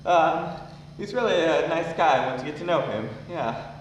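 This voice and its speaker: A man's voice: fairly high pitch, expressive, normal speed, close to an everyday voice. Male actor as theater guide, chatty, nervous, amateur drama, distant mic, background noise. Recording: noisy echoey room, distant mic.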